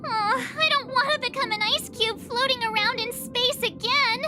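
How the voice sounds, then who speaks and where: A woman voicing a cute, expressive, easily alarmed teenage girl in a game cutscene. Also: very high-pitched, normal speed. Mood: worried, whiny. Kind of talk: video game cutscene acting. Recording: music behind the voice.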